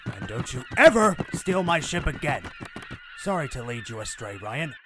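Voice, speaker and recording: A blustery cartoon sea captain acted by a man with a fairly high, wildly swooping voice. He is hearty and loyal, fond of a drink, in an adventure audio drama. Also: wildly swooping pitch, normal speed, noisy background. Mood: indignant.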